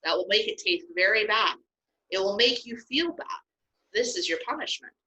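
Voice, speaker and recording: A woman's voice: mid-range voice, expressive, moderate pace, bright, forceful tone, slight background noise, low-quality recording. A woman voicing Moses as an earnest, stern male leader in a children's Bible story. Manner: pleading then stern.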